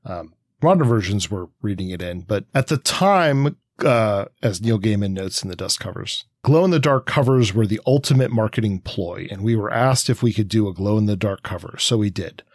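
Male podcast co-host, low-pitched, analytical and conversational, halting delivery, very dry sound. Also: animated, dry recording. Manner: halting, pausing and circling as he thinks aloud. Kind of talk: conversational comics analysis, sometimes reading quotes.

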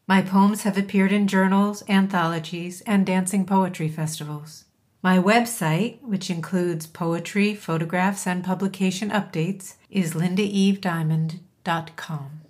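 A woman poet introducing and reciting her own work with deliberate, measured phrasing and pauses. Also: lowish voice, darkish tone. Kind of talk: poetry reading with scripted introductions.

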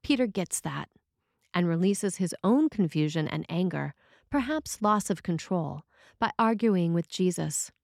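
A woman's voice: fairly low pitch, animated, a little slow, creaky, darkish tone, no echo, studio quality. A woman reading a written sermon as a preacher, in a scripted style.

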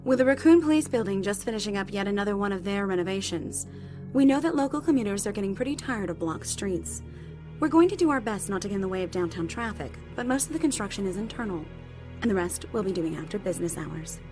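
Casting: actress as fast-talking corporate spokeswoman, polished, upbeat PR delivery, music bed beneath. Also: medium pitch, lively intonation, lightly acted. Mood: reassuring, businesslike. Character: polished female corporate spokesperson reassuring the public. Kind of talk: scripted audio drama.

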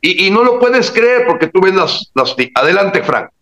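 A man talks politics in an emphatic, indignant way, often stumbling and restarting his sentences. His voice sits a bit high and has a bright, forceful edge. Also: very animated, moderate pace, dull audio. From Mexico. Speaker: guest commentator. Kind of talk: casual political chat.

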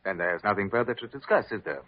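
An actor playing a guarded, insistent gentleman in an old radio drama, speaking formally and precisely. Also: on the low side, expressive, quick pace. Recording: dull vintage radio recording.

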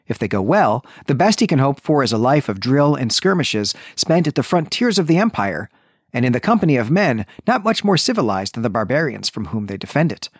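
Animated man recapping a story. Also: medium pitch, brisk, breathy edge, no room sound, clean studio sound. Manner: explanatory, often checks in with "right". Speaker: podcast co-host. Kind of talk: literary recap, retelling a story conversationally.

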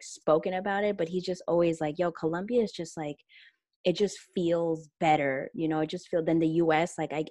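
A woman's voice: sits low, a little mellow, dry recording. A young woman talking casually and reflectively as a guest, leaning on fillers like "you know" and "like". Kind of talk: casual conversation.

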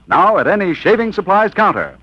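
A man's voice: sits high, big pitch swings, brisk, husky, no echo. An American man announcing a 1940s radio commercial with polished, emphatic salesmanship. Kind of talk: 1940s radio advertising read. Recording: dull vintage radio broadcast.

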